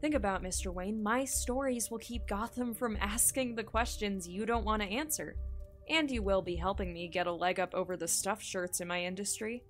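Woman playing pushy smart reporter in an audio drama, medium pitch, confident and direct, soft music behind. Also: moderate pace, lightly acted. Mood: persuasive. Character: bold, shrewd, ambitious female entertainment reporter.

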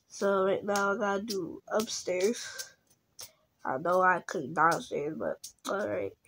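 A young girl chatting casually while playing a game, rambling and restarting, sometimes dropping to a whisper. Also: on the high side. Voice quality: rambling, often trails into a whisper. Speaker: game video creator. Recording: noisy phone recording with clicks.

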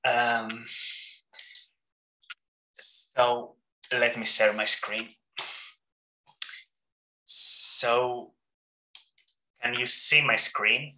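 A man's voice: medium pitch, level tone, drawn-out words, long pauses, pushed and bright, roomy sound, low-quality recording. A man in his thirties with a Greek accent, giving a friendly, joking academic talk over a video call with many ums and uhs. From Greece. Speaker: seminar speaker. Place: home room, indoors.

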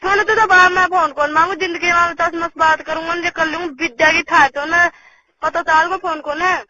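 A woman's voice: extremely high pitch, fairly even intonation, normal speed, crowd noise. A woman with a Rajasthani accent, chatting casually and privately as a caller on the phone. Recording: dull lo-fi phone call, background noise.

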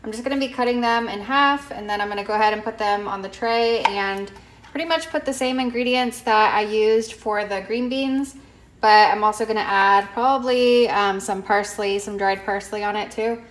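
A young woman talks you through her cooking in a home kitchen. She is casual and chatty, fills her pauses with ums, and often restarts her sentences. Her voice sits in a middle pitch, and it is picked up by the camera mic. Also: a little hiss or hum. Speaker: cooking vlogger.

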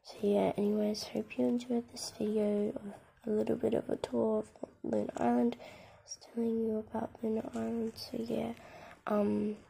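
A young girl with a croaky voice from a cold, softly rambling through a tour of her game with lots of "like" and "um". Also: a little high, flat delivery, normal speed, a little hiss or hum. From Australia. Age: child. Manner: soft, half-whispered, rambling with many "like" and "um". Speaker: gaming channel host. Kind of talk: casual game tour commentary. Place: at home, filming a laptop screen. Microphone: phone camera.

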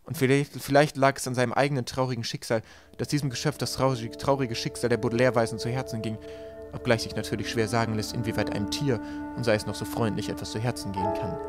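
A man's voice: medium pitch, level tone, fast talker, over loud music. A man reading a storybook aloud as scripted narration. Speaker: audiobook reader.

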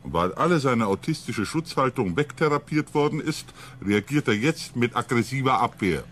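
A man playing a calm, patient ship psychologist who explains things emphatically in a science fiction radio drama. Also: medium pitch, moderate pace, slight background noise, natural voice. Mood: patient, insistent.

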